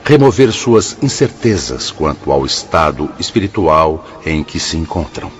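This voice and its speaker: A deep-voiced, solemn spiritual instructor. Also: male voice, big pitch swings, highs cut off, a little hiss or hum, full character voice. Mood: solemn, authoritative. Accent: Brazilian. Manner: solemn, formal, measured. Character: solemn, benevolent male spirit instructor addressing the afflicted. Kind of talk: radio drama acting, formal oratory.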